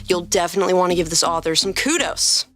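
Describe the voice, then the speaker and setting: A woman's voice: on the low side, fast, a bit bright, faint music behind. A woman hosting a podcast and reading stories as scripted narration. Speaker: podcast host and story reader.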